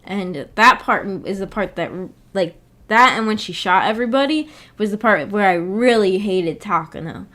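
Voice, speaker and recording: A woman with a medium-pitched voice chats casually as a livestream host. She thinks aloud in a rambling, hesitant way, feeling her way through each thought. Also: expressive, normal speed, fairly bright tone.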